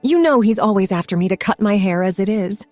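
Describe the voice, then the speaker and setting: A woman's voice: medium pitch, expressive, fairly quick, darkish tone, no room sound, soft background music, natural voice. A woman voicing an embarrassed, evasive young boy in an audiobook story, stammering and defensive. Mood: nervous protest.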